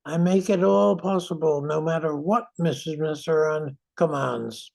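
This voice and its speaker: An older man playing a charming, warm-hearted, self-satisfied station aide in a 1940s-style radio comedy. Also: on the high side, measured pace, gravelly. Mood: pleased.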